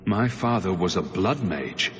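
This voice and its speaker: A man's voice: low-pitched, expressive, unhurried, slight background noise. An actor playing a guarded young male hero mage in a fantasy game, asking direct questions. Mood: wary, questioning. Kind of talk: dramatic fantasy game acting. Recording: long reverb, clear music behind.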